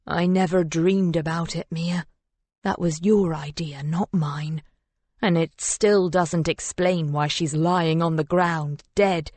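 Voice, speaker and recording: An anguished husband in an audiobook drama, played by a woman, accusing and raw with grief. The delivery hisses, moans and cries out in slow, heavy phrases, recorded close and dry. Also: low voice, steady tone, darkish tone, no room sound. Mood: angry, disbelieving. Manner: hissing, moaning, crying out. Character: anguished, angry husband, adult man, grief-stricken and accusing.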